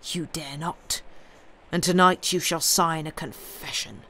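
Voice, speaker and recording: Bitter male villain for an audiobook, acted by the narrator: slow, forceful, theatrical menace, dripping scorn. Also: medium pitch, slight background noise. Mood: menacing. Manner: sneering, forceful, declamatory. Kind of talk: dramatic audiobook character voice.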